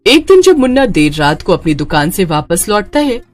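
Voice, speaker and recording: A woman's voice: mid-range voice, very animated, moderate pace, soft background music. A woman narrating a scripted dramatic story as the storyteller.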